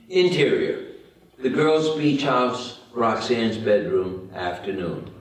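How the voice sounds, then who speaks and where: Casting: male stage-directions reader, lowish pitch, unhurried, heard off-mic in a reverberant room. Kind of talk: scripted narration at a table read. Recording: very echoey room, distant microphone.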